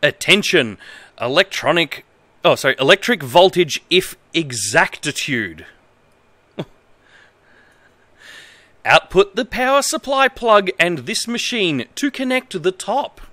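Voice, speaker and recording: Animated, bright-voiced Australian man streaming. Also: high voice, no echo. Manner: halting, exaggerated read-aloud delivery with incredulous asides. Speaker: livestreamer. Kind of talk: reading aloud with amused livestream commentary. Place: indoor home streaming setup.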